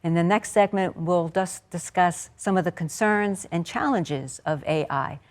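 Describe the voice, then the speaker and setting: Woman, panel moderator, lower pitch, encouraging, questioning. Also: unhurried. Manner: encouraging, asks follow-up questions. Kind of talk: moderating a faculty panel discussion.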